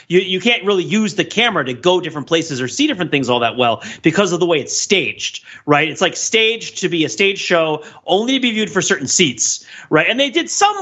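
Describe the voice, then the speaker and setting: A man hosts a casual podcast in a fairly high, very lively voice. His tone is bright and forceful, and he digresses freely, tossing in "like" and "right?" as he goes. The recording is slightly dull and lo-fi. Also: husky. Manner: animated, digressive, peppers talk with "like" and "right?". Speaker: podcast host. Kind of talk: casual podcast discussion and critique.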